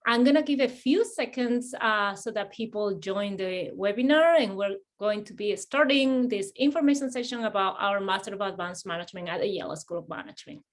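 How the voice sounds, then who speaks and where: A woman's voice: mid-range voice, lively intonation, a little fast, few pauses. A middle-aged woman from Spain with a Spanish accent, hosting an admissions webinar in a friendly way, with many fillers and restarts. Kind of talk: webinar presentation.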